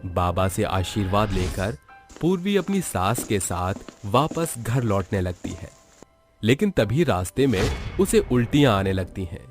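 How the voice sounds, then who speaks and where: Animated low-voiced male storyteller. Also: a little slow. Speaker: narrator. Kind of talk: dramatic scripted story narration for a moral horror cartoon. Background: background music.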